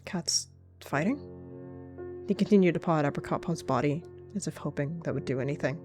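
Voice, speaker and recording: A woman narrates a roleplay story in a steady, descriptive way. Her voice sits somewhat low for a woman. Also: fast, over music. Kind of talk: narrating a warrior-cat roleplay.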